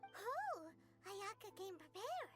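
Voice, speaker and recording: A woman voicing a small, childlike fairy companion in a video game, with a squeaky, chirpy, excitable delivery. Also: takes it slowly, exaggerated voice. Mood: curious. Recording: music playing behind the voice.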